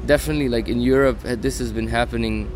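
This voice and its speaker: Man, mid-pitched, rambling and outspoken, with filler words; loud music behind. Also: fairly even intonation, quick pace. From Slovenia. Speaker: electronic music artist, guest. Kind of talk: casual interview chat.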